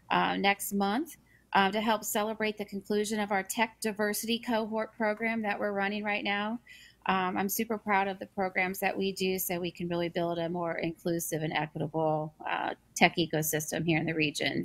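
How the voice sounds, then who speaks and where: A woman's voice: mid-range voice, a bit bright. A woman in her forties leading a nonprofit, talking upbeat and promotional with many ums over a video call. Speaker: nonprofit leader. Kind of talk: interview answers.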